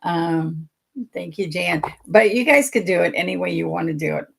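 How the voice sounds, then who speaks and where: An older woman leads a painting lesson in a casual, chatty way, greeting viewers by name as she works. Her voice is low and gravelly, with a husky rasp that stays present throughout. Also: normal speed. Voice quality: raspy. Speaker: painting teacher.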